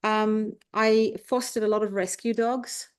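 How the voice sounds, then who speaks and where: A woman's voice: mid-range voice, steady tone, unhurried, smooth. Thoughtful Englishwoman in her fifties. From England. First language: Punjabi. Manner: thoughtful, circling back with restarts. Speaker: guest, life coach. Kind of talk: reflective podcast conversation.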